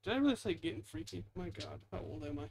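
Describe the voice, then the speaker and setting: Higher-voiced man rambling over gameplay. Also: sing-song, quick pace, vocal fry, a little hiss or hum. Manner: rambling, self-deprecating muttering. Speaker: gaming video host. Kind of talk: casual gameplay commentary.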